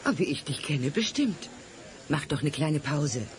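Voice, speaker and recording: A woman's voice: low voice, expressive, a little slow, a little hiss or hum. An actress playing a caring waitress worried about her friend, in an audio drama. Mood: concerned. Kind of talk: German audio drama acting.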